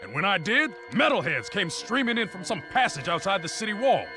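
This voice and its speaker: A man's voice: high-pitched, very animated, fairly quick. A man playing a tough, brash, street-smart fighter in a video game, talking urgently. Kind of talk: video game action acting. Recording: loud music behind the voice.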